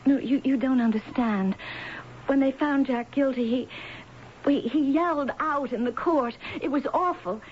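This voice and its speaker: An actress playing a frightened, desperate young wife in a 1970s radio drama, with halting, anxiously repeated words. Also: female voice, sits high. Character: frightened, desperate young wife fearing her imprisoned husband. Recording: muffled, hissy 1970s radio broadcast.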